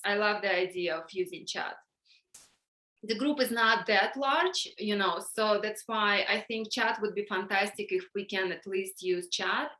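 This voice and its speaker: A middle-aged woman with a Ukrainian accent, leading an online workshop in a halting way, full of fillers and restarts, on a video call. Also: mid-range voice, bright, forceful tone. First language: Russian or Ukrainian. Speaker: workshop facilitator. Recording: echoey room.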